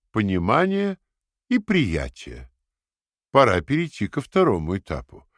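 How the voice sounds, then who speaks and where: An actor playing a calm, condescending party interrogator who lectures in a measured, fanatical way in an audio drama. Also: male voice, medium pitch, no room sound, studio quality. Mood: cold, patronizing. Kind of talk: dramatic audio play acting.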